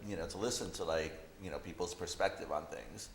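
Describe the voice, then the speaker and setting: A man's voice: deep voice, fairly quick. A man chatting casually and reflectively as a visual artist, often saying "you know". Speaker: visual artist being interviewed. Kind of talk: casual conversation. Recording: roomy echo, faint background noise.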